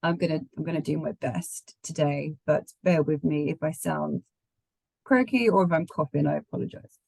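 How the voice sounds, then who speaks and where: Croaky woman astrologer with the flu. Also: low voice, normal speed, a little mellow, no room sound, low-quality recording. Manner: chatty, laughs often, many ums. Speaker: astrologer co-host. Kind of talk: casual astrology chat.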